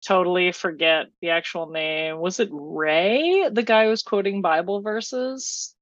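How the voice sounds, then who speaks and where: A woman's voice: lowish voice, measured pace, no room sound, lo-fi sound. A woman with an American accent talking casually about films as a podcast co-host. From New Jersey, United States. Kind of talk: casual film discussion.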